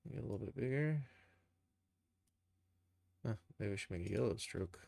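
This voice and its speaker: A man's voice: on the low side, expressive, moderate pace, gravelly, darkish tone, no echo. A man streaming a casual tutorial, thinking aloud informally as he works. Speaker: streamer, game developer and animator. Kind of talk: casual live screen-share tutorial.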